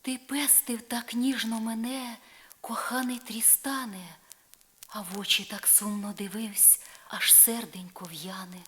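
A woman's voice: a little high, fairly even intonation, unhurried, fairly bright tone. A woman reciting dramatic poetry as a sorrowful, pleading young maiden in love, plaintive and lyrical, on a crackly vinyl record. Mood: tender reproach. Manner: plaintive, yearning, lyrical delivery.

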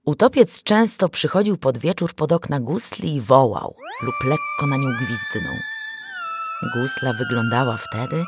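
A woman narrating a fairy tale in a theatrical, expressive storytelling manner. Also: a bass voice, a little slow. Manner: theatrical, animated. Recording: music behind the voice. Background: music.

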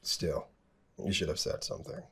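A man playing a caring boss who speaks softly and reassuringly, hesitating at times. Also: normal speed, close to an everyday voice. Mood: warm. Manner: soft-spoken, reassuring, hesitant. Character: caring male boss, gentle and thoughtful. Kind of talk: amateur skit acting.

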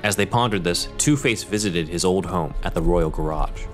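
Male narrator, very deep, dramatic scripted storytelling, music underneath. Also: normal speed.

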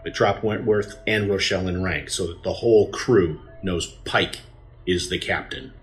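Man acting a deep, stern, commanding president. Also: moderate pace, soft background music, lightly acted. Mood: firm. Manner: authoritative, clipped, overriding. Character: stern, commanding male president of a future Earth. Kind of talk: audio drama acting.

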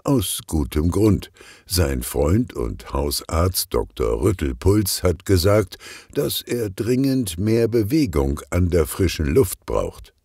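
A man's voice: deep voice, big pitch swings, takes it slowly, warm tone, slightly rough, no room sound, studio quality. A man reading a scripted children's detective story as an audiobook narrator.

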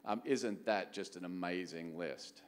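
Aussie guy doing a keynote, lowish voice, chatty delivery, big echoey hall. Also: a little slow, clean tone. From Australia. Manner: conversational, frequent rhetorical questions. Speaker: venture capital executive, keynote speaker. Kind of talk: conference keynote presentation. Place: large conference hall stage. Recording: echoey hall sound, long reverb.

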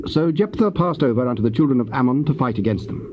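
Guy reading bible narration, solemn n measured, mid voice, dull old tape sound. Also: medium pitch, lively intonation. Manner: measured, formal. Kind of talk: solemn scripted scripture narration. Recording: muffled old cassette, faint hiss.

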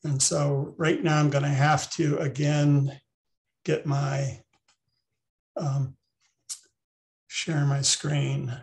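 An older man, a retired biology professor, giving an online talk over a video call, halting with many ums and restarts. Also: a little high, level tone, gravelly.

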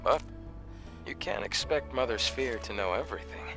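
A calm young man guiding a companion through a ruined city in a game cutscene. He talks in a steady, reassuring, upbeat way at a middle pitch. Game music plays behind him. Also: normal speed, creaky. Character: young male survivor guide, calm and encouraging. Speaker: companion character. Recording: game soundtrack playing behind.

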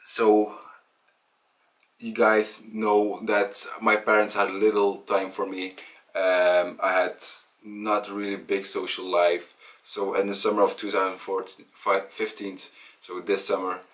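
A young man talking casually to camera about himself, in a hesitant, halting way. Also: deep voice, flat delivery, a bit bright. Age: young adult. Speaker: vlogger. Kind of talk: casual personal storytelling to camera. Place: small indoor room at home. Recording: voice distant from the mic.

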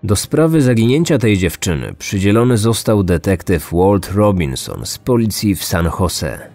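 A low male voice narrates a scripted true crime story. He is a podcast host in his thirties, and music plays behind him throughout. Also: animated, moderate pace, a little mellow. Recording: music behind, some reverb.

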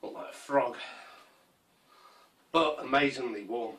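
A man in his fifties talks slowly through his camping kit, pausing often with ums and restarts. He sits a few feet from the camera in a small conservatory, so his voice sounds a little distant. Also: medium pitch, a bit bright, a little hiss or hum. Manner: unhurried, rambling, with frequent ums and restarts. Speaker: survival gear vlogger. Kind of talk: casual show-and-tell. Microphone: camera mic from a few feet away.

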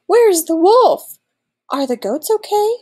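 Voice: medium pitch, animated, measured pace, warm tone. A woman voicing worried, scolding villagers in a children's storybook.

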